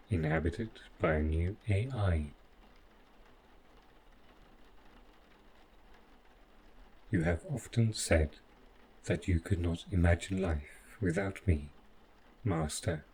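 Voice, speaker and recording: A deep-voiced male robot butler, acted out by a man for an audio roleplay. He speaks very slowly in formal, clinical phrases, devoted and possessive with a calm menace. Faint noise sits behind the voice. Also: rough recording. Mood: earnest, pleading. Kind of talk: scripted audio roleplay addressed to the listener.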